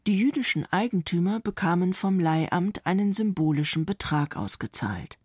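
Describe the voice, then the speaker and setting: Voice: mid-range voice, animated, moderate pace, darkish tone, slightly rough, no room sound, clean studio sound. A narrator reading a scripted documentary report.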